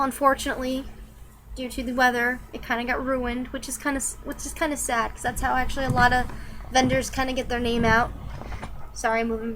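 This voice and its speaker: A woman's voice: high-pitched, fairly bright tone, a little hiss or hum. A young woman, a little sick and nasal, chatting casually and rambling with lots of ums and likes in a product review vlog. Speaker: vlogger.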